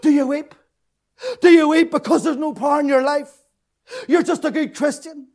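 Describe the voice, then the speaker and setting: A man preaching an impassioned sermon, repeating his phrases, swinging from shouted peaks to hushed, tearful lows. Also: fairly even intonation, moderate pace. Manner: fervent, repetitive, rising to shouts then dropping low.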